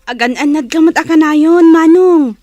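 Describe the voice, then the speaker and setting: A woman's voice: sits high, moderate pace, a little mellow, no room sound, lightly acted. A woman acting a caring young girl, an affectionate, pleading little sister, in a radio drama.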